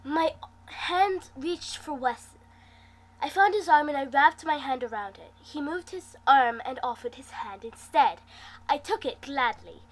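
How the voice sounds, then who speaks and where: A woman reading fan fiction aloud in an expressive, dramatic manner, acting out the dialogue as she goes. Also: high voice, measured pace, poor audio. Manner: animated, dramatic delivery. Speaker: amateur fan fiction reader, host.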